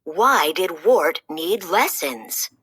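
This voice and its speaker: A woman reading a children's storybook aloud, with a slow, animated storytelling manner. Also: breathy edge. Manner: slow, lively storytelling with dramatic pitch swings. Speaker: storybook reader. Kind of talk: children's read-aloud narration.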